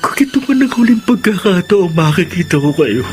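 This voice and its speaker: A man plays a young adopted son in an audio drama, high-voiced and full of anguish. He pleads in broken phrases, sunk in remorse and self-loathing, with music playing clearly behind him. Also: sing-song, brisk. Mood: tearful gratitude. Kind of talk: dramatic Tagalog audio story acting.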